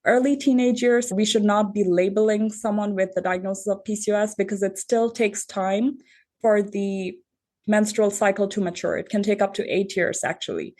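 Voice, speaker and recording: A woman from Bangladesh, an endocrinologist, giving measured, methodical explanations as a podcast guest. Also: medium pitch, fairly even intonation, darkish tone. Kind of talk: explanatory interview answers.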